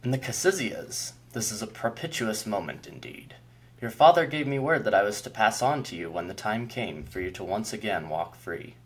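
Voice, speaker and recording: A man doing a husky, ageless Keeper. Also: fairly low pitch, animated. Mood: formal. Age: older. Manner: grave, measured, haughty. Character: ancient male keeper of mysteries, commanding and scornful. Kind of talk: audiobook character voice.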